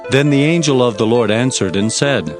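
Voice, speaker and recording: A man's voice: mid-range voice, normal speed, music in the background, close to an everyday voice. An actor playing an earnest prophet recounting his visions, in solemn and dramatic scripture narration. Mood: awed, narrating.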